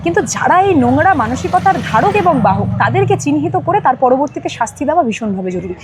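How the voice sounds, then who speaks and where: A woman's voice: sits high, very animated, fast, background noise. A woman with a Bengali accent giving emphatic political comment as an interview guest, in long, repetitive sentences.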